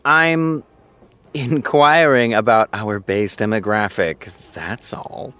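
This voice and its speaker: A man's voice: fairly low pitch, steady tone, slow pace, a bit bright, clean tone, no echo. A man playing a self-important TV writer, wordy, hesitant and defensive, in a naturalistic audio drama. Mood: uneasy, guarded.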